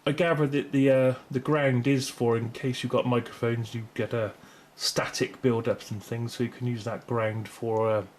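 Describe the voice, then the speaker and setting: A man's voice: mid-range voice, steady tone, normal speed, hardly any pauses. British man rambling casually, noisy recording. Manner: rambling, tentative, self-correcting. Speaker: video host reviewing gear. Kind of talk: casual unscripted product review. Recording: clear background noise, room ambience.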